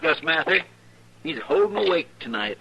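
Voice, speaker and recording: An actor playing a folksy, loyal, chatty frontier deputy with a rural American drawl in an old-time radio western. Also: male voice, fairly high pitch, sing-song, moderate pace, vocal fry, sharp tone, dull audio, exaggerated voice. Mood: earnest, talkative. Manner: folksy, plain-spoken, drawling. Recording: old radio recording, noisy, crowd behind.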